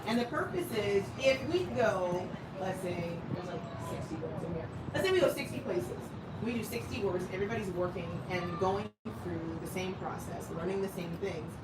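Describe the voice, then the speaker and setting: A woman's voice: medium pitch, lively intonation, normal speed. A woman leading a casual women's study group, chatty and laughing often. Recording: roomy, distant, loud background noise.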